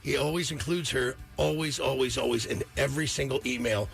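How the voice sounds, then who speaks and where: Male radio host, gravel-edged voice, slangy and emphatic, light music underneath. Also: mid-range voice, a little fast. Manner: informal, slangy, emphatic and repetitive. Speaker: radio morning show host. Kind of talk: casual, animated radio chat.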